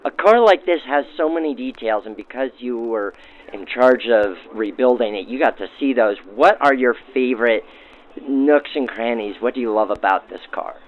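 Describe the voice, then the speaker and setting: A man's voice: medium pitch, moderate pace, phone-quality sound. A man interviewing guests, heard through a vintage radio filter. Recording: band-limited old-radio sound.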